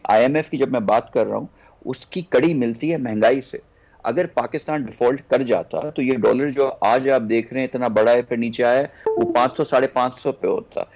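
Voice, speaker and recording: A man's voice: medium pitch, darkish tone. Pakistani man explaining politics. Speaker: political guest on a news talk show. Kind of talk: political discussion, explaining policy.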